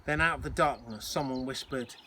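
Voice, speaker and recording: A man narrating a children's story aloud in the expressive style of a teacher reading to pupils. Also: mid-range voice, brisk, noisy background.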